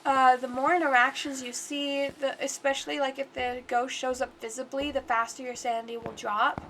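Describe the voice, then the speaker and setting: A woman's voice: high voice, flat delivery, moderate pace, a bit bright, a little hiss or hum, low-quality recording. A congested woman livestreaming, chatting casually and rambling through gameplay commentary. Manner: chatty and rambling, talks to the game aloud.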